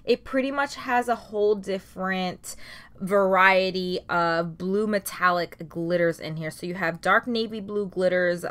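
A woman's voice: lowish voice, fairly bright tone. Chatty woman reviewing nail polish. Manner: chatty, enthusiastic, says "like" often. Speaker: beauty vlogger. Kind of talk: casual product review. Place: home filming room with shelves of nail polish, indoors. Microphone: camera at desk, close framing.

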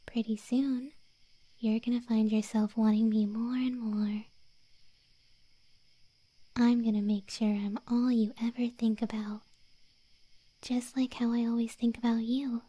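A woman voicing a possessive, obsessive moth girlfriend in an ASMR roleplay, sweetly menacing in slow, breathy, cooing whispers close to the microphone. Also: fairly high pitch, level tone, warm tone, dry recording. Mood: possessive, teasing. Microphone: close microphone, dry.

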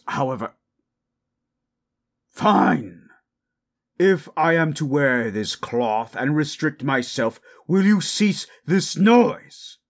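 A man voicing a proud, possessive forest monster in human shape, gravelly and husky, speaking in stilted, imperious phrases for an audio roleplay. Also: low voice, exaggerated voice. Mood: grumbling, irritated. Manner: slow, imperious, formal. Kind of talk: dramatic audio roleplay, stilted formal speech.

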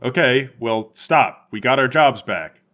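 A man's voice: medium pitch, unhurried, fairly bright tone, lightly acted. A man playing a blunt, lazy, self-serving cop with brusque, casual delivery in improvised comedic roleplay.

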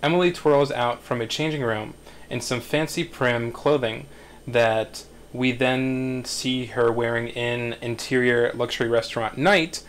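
A man in his thirties narrating screenplay directions in a measured, halting way at a table read. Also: medium pitch, steady tone, slight background noise.

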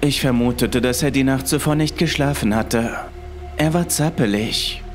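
A man's voice: medium pitch, normal speed. A man reading a scripted first-person horror story as its narrator. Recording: music behind the voice. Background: music.

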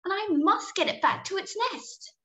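A woman's voice: very high-pitched, lively intonation, moderate pace, fairly bright tone, voice pushed up. An Irish woman voicing an earnest, determined young female puffin chick in a storybook, exclaiming insistently. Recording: muffled, echoey room recording.

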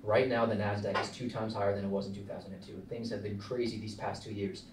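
Guy acting as cocky young founder pitching hard, lowish voice, echoey room mic far off. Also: flat delivery, fast talker, lightly acted. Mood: urgent. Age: young adult. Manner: insistent, preachy salesman delivery. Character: brash, persuasive young male startup founder. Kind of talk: table read of a film script. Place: small meeting room, indoors. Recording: roomy, distant mic, faint noise.

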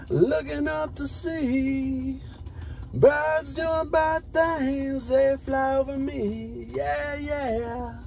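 An American man performing rhyming spoken word poetry in a drawn-out, rhythmic, emphatic delivery. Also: extremely high pitch, gravelly, darkish tone.

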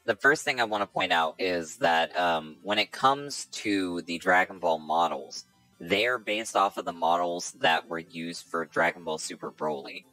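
A man's voice: low voice, measured pace, pushed and bright, faint music behind, low-quality recording. A young man co-hosting a podcast, chatting casually and with opinionated enthusiasm about video games. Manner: opinionated, enthusiastic, rambling with fillers. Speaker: podcast co-host.

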